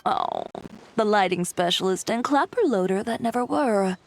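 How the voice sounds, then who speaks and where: A woman's voice: mid-range voice, animated, moderate pace, vocal fry, noisy background. A woman voicing an easygoing, teasing film crew member in a video game, with a casual, breezy and reassuring manner.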